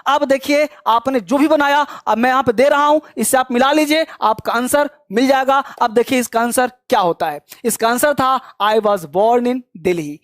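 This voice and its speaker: A man runs an online English class, quizzing students at a brisk clip. He teases and scolds along the way. His voice sounds dry, with no room echo. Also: very high voice, fairly bright tone. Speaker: English teacher. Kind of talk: online spoken English quiz lesson.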